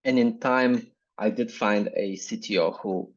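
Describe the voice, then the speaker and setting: A man with a Bulgarian accent answers interview questions in a measured, reflective way. His voice sits on the low side. The recording sounds dull and lo-fi. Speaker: startup founder and guest. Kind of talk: business interview.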